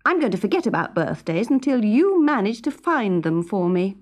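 A woman voicing a spoiled, demanding young princess in a storybook, with petulant, breathy exclamations. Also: medium pitch, very animated, moderate pace, noisy background, close to an everyday voice. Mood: cross, demanding.

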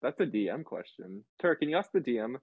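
A man's voice: medium pitch, big pitch swings, brisk, slightly rough, narrow, tinny audio. A man chatting casually as a player in a tabletop game, hesitant and often repeating his phrases. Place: online group voice call, dry.

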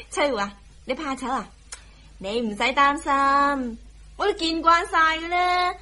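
An actress playing a sassy, teasing younger sister from a wealthy family in a Hong Kong Cantonese radio drama. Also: female voice, high-pitched, very animated, takes it slowly, bright, forceful tone, heavily acted. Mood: teasing, mock-hurt. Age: young adult. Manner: teasing, exaggerated.

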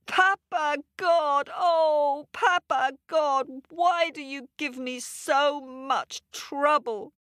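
A woman's voice: fairly high pitch, slow pace, a bit bright, smooth, no echo, studio quality. A woman voicing a weary woman in a storybook tale who wails and grumbles about her troubles. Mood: lamenting. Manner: wailing, sighing complaints.

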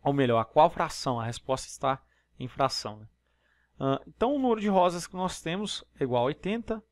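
A man's voice: a little high, expressive, a little fast, dry recording, studio quality. A Brazilian man teaching math over a handwritten screen, explaining each step and checking in with listeners as he goes. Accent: Brazilian Portuguese. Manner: explanatory, step-by-step, frequent tag questions. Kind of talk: exam problem walkthrough. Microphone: voice-over on screen recording.

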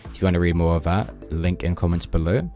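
A man's voice: deep voice, fairly quick, dark tone, faint music behind. A young man hosting a gaming video, narrating update notes in an informal, loosely worded way. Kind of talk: casual patch-notes narration.

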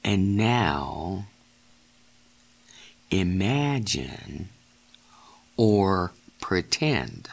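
A man leading a guided meditation in deliberate, halting phrases, giving gentle step-by-step instructions as a narrator of guided imagery. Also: low-pitched, big pitch swings, creaky.

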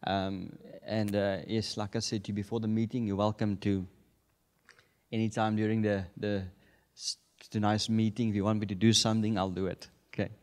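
A man's voice: lowish voice, flat delivery, normal speed, darkish tone. A man in his thirties from South Africa, Afrikaans his first language, preaching a sermon with a South African accent on a handheld mic in a large church. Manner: chuckling often, building to emphatic declarations. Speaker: guest preacher. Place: large church sanctuary, indoors. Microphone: handheld microphone.

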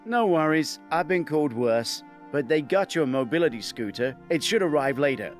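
A man's voice: a little high, expressive, moderate pace, faint music behind, natural voice. A man voicing a good-natured, plain-spoken male bodyguard in an audiobook. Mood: reassuring.

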